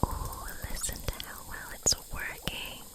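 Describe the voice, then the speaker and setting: A woman playing a gentle, soothing kitchen witch in a whispered ASMR roleplay, speaking softly and breathily with a caring manner. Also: close to an everyday voice. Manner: soft, slow, caring, unhurried.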